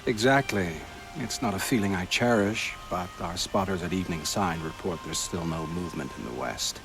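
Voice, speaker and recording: A man voicing an urbane, drily witty court advisor in a video game, speaking formally and in measured phrases. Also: sits low, music in the background, poor audio. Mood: wry, composed. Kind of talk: video game cutscene acting.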